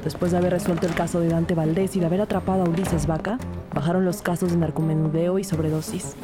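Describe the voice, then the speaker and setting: A woman's voice: fairly low pitch, little pitch movement, fast talker, over music, close to an everyday voice. An actress playing an earnest, composed police detective who narrates her case in a scripted audio drama. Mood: composed, serious.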